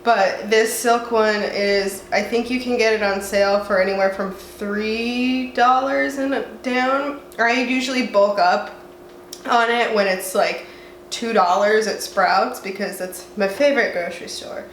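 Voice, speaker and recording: A woman's voice: medium pitch, steady tone, a little slow. A young woman from the southwestern United States, talking in a chatty, rambling way with a congested voice from a cold, at home. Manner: chatty, rambling, self-mocking. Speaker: vlogger. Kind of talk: casual chat. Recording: roomy echo, faint room noise.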